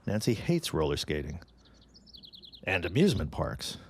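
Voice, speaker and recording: An actor playing a courteous, earnest gentleman in a period audio drama, with a genial, expressive manner. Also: low voice, moderate pace, slight background noise. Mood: earnest, confiding. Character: courteous, earnest widowed man, eager suitor, 1910s drama.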